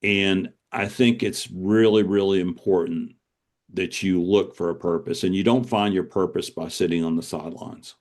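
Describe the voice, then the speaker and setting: A man's voice: low-pitched, steady tone, normal speed, a little mellow. An older man speaking as an author being interviewed, earnest and reflective, repeating words for emphasis.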